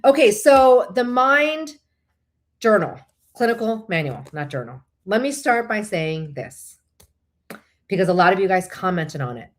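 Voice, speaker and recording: A woman's voice: mid-range voice, very animated, measured pace, slight background noise. A woman whose first language is Korean, hosting a casual, chatty livestream, often digressing and thinking aloud as she replies to viewers. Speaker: host of a live online show; licensed therapist.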